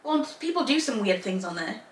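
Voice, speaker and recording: A young woman from England co-hosting a podcast in casual chat, talkative and quick to laugh, often restarting her sentences. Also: fairly high pitch, very animated. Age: young adult. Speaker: podcast co-host. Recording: echoey room, mic at a distance.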